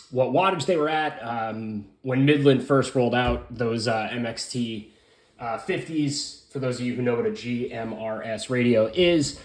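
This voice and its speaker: Male hobby reviewer, mid-pitched voice, casual and loosely rambling hands-on explanations, recorded with noticeable room echo. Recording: roomy, echoing room sound.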